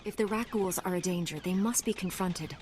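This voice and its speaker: Firm, composed actress as Jedi heroine. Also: female voice, on the low side, brisk, slight background noise, close to an everyday voice. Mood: resolute. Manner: calm, firm, dutiful. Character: resolute, composed female Jedi Knight heroine. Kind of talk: video game dialogue. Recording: game music underneath.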